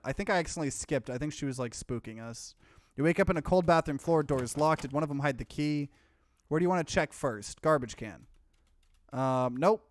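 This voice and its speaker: Let's play streamer, male, lighter high-set voice, reading story text aloud with casual asides. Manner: mixes plain narration with offhand asides.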